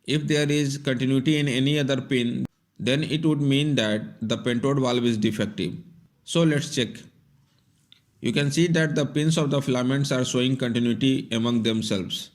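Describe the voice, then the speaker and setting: A man's voice: mid-range voice, moderate pace. A man giving scripted, step-by-step instructional narration in a plain, methodical way. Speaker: repair tutorial narrator.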